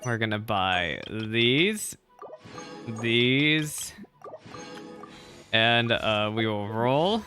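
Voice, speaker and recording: A male streamer chats through his game session in an excitable, rambling way, often repeating himself. His voice has a bright, forward edge, and soft game music plays faintly underneath. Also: mid-range voice, extremely slow, long pauses. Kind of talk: casual livestream commentary.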